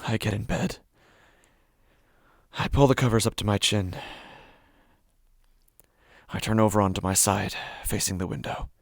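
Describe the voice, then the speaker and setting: An actor playing an anxious, obsessive man narrating his sleepless nightmares in a halting horror audio monologue. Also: fairly low pitch, vocal fry, no room sound, clean studio sound. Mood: uneasy doubt. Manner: halting, hushed, often breaking into whispers. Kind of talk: first-person horror audio fiction monologue.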